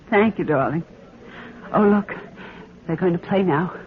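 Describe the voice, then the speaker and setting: Headstrong wife in old radio drama. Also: female voice, on the low side, slow. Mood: teasing, fond. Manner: gushing, theatrical. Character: infatuated, headstrong young wife, a singer. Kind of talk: 1940s radio drama acting. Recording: muffled, noisy vintage radio recording.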